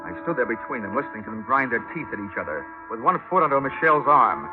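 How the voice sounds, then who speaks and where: A man's voice: medium pitch, animated, fast talker, lightly acted. Man acting wry hardboiled radio detective, vintage sound. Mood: tense wry narration. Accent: American. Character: wisecracking hardboiled male insurance investigator narrating his case. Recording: dull vintage radio recording with loud music.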